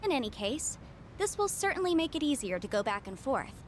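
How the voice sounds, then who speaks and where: Calm, analytical young woman. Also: high-pitched, slight background noise. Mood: thoughtful. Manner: measured, analytical speech. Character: calm, thoughtful young woman navigating a maze. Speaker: video game character.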